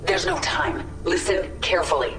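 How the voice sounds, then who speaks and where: A woman's voice: fairly low pitch, big pitch swings, moderate pace. A woman playing a grim, commanding warrior queen in a video game, speaking in a curt, controlled, imperious way. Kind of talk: video game cutscene acting. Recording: distant, echoing, music behind.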